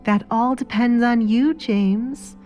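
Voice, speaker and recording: A woman's voice: a little high, slow, a little mellow, soft background music, voice pushed up. A woman voicing a cruel, scheming baroness in an audio drama, haughty and menacing, with mock-wounded airs. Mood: menacing, commanding. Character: sly, cruel, scheming baroness, a married mother.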